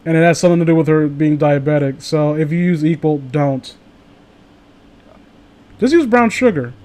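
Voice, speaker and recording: A man with a very high voice hosts a casual group chat on a stream. He rambles excitably and circles around his thoughts as he goes. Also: normal speed. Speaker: host of a live group stream.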